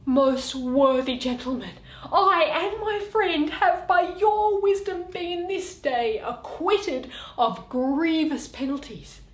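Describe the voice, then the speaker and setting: A woman playing an earnest young gentleman who beseeches in Shakespearean verse. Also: sits high, lively intonation, slow, voice pushed up. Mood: grateful. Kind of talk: Shakespearean stage reading. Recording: roomy, echoey indoor recording.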